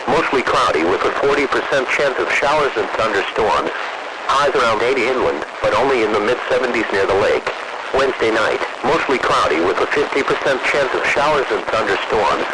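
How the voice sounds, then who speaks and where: Heavy radio static, American man, medium pitch, formal weather announcer, impersonal automated delivery. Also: expressive, a little fast. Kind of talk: scripted weather bulletin reading. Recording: very noisy, static-filled weather radio reception.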